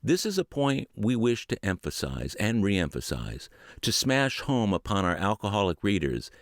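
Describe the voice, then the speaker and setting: Male audiobook reader, lowish voice, lively earnest phrasing, dry close mic. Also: normal speed, no room sound. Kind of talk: word-for-word book reading.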